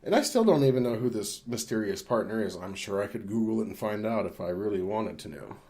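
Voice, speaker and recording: A man's voice: fairly low pitch, expressive, fast talker, darkish tone. A man co-hosting a podcast, discussing film casually in a hesitant, rambling, conversational way. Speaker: podcast co-host.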